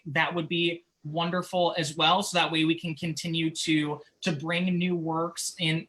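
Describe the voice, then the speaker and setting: Earnest male host, bright voice. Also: on the high side, low-quality recording. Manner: earnest, halting with repeated words. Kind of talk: casual closing remarks to an online audience.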